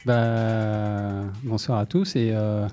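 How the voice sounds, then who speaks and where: A man hosting a casual interview, asking questions in a relaxed, informal way with frequent fillers. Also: fairly low pitch, very slow, soft background music. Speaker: interviewer host.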